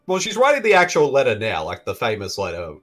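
A man's voice: mid-range voice, wildly swooping pitch, quick pace, crowd noise. A man from Canada riffing casually on a movie, joking and laughing often. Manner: jokey, laughs often, quotes lines in silly voices. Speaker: movie commentary host.

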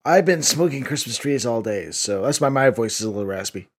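A man's voice: mid-range voice, expressive, a little fast, husky. A man co-hosting a casual movie commentary podcast, dropping dry jokey asides. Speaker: podcast co-host.

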